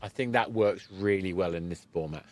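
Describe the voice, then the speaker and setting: A man hosting a podcast interview, explaining in a measured, reflective, conversational way. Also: deep voice, no room sound. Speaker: podcast host.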